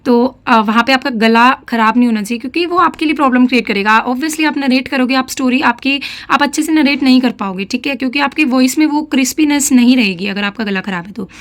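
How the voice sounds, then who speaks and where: A woman's voice: sits high, quick pace, few pauses, fairly bright tone. A young woman with a slightly sore throat giving casual, chatty advice in a vlog and often checking in with her listeners. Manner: chatty, mixes English phrases, frequent "theek hai" check-ins. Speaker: defence exam aspirant vlogger.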